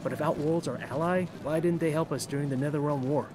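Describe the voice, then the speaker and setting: A man's voice: on the high side, steady tone, quick pace, noisy background, natural voice. A man playing an earnest, wary, focused young soldier in a video game.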